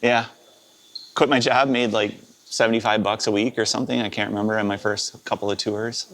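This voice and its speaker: Wisconsin man in his fifties, sound engineer guest, low voice, easygoing and chatty. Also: a little fast, a little hiss or hum. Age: 50s. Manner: relaxed, rambling, with many "uh" and "you know". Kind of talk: casual interview storytelling.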